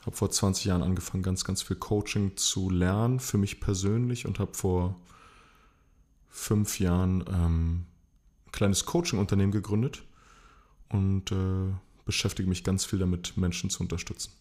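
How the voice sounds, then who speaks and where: A man's voice: low-pitched, steady tone, moderate pace, darkish tone. A man in his forties, raised in Chile, talking as a guest on a casual podcast; he hesitates, restarts phrases and pokes fun at himself. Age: 40s. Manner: hesitant, self-deprecating, restarts often. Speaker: podcast guest, actor and coach.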